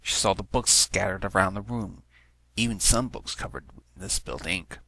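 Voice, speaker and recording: A man's voice: low-pitched, moderate pace, creaky, sharp tone, no room sound. A man reading fan fiction aloud as a scripted story narration.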